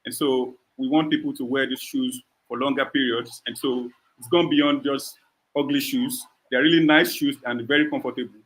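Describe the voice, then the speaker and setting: Bright-voiced man pitching his startup. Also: fairly even intonation, normal speed, rough recording. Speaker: startup founder answering judges. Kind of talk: pitch question-and-answer, unscripted. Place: online video call.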